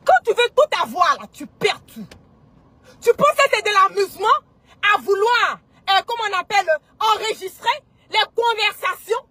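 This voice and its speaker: Very high-pitched, forceful Ivorian woman ranting. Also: animated, moderate pace, no room sound. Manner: indignant, emphatic, repetitive, mocking. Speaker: online commentator. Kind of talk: impassioned political rant.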